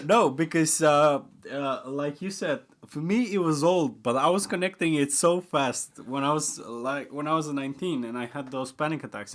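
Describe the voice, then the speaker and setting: A young man in casual podcast chat. He rambles and restarts often, leaning on fillers. His pitch swings widely, bursting up when excited and sinking to a near mumble when he turns thoughtful. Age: young adult. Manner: rambling, repeats himself, often says like and uh; swings between excited high pitch and quiet low mumbling. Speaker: podcast guest.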